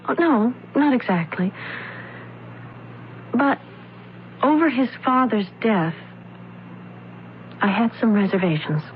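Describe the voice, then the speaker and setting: An actress playing an earnest, anxious young opera student in an old-time radio mystery, with careful, dramatic diction. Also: female voice, fairly high pitch, lively intonation, slow pace, pauses often. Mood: guarded. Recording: narrow, tinny old radio recording, hiss.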